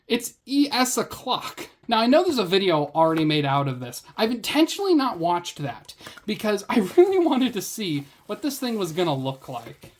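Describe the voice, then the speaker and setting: A woman's voice: mid-range voice, big pitch swings, normal speed. A woman reviewing a gadget in a casual unboxing commentary, with a sarcastic, wry way of talking.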